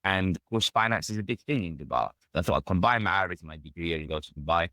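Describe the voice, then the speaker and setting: Fast-talking, deep-voiced British man. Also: pushed and bright, dry recording, studio quality. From United Kingdom. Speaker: podcast guest. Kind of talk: casual personal storytelling.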